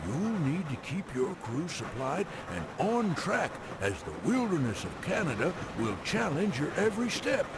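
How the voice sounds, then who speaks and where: Crusty old american railroad geezer, gravelly n swoopy, folksy game narration, loud noise behind him. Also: male voice, on the high side, wildly swooping pitch, a little slow, few pauses, heavily acted. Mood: storytelling. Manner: folksy, scolding, colorful old-time slang. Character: crusty folksy old-timer railroad man, gruff and teasing. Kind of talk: theatrical scripted game cutscene narration.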